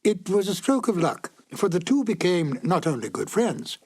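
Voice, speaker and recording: Higher-pitched man presenting classic radio drama. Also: darkish tone, breathy edge. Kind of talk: scripted narration.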